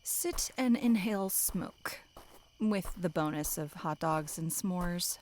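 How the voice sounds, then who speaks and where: A woman's voice: lowish voice, slow pace, darkish tone, slight background noise. A woman playing a sassy, teasing young female camp counselor in an audio drama, with a flippant, playful manner. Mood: playful, inviting.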